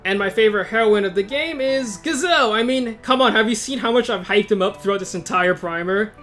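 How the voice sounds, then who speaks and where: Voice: mid-range voice, a little fast, fairly bright tone, faint music behind. A video host reading a scripted but casual review, informal and opinionated, with wry asides.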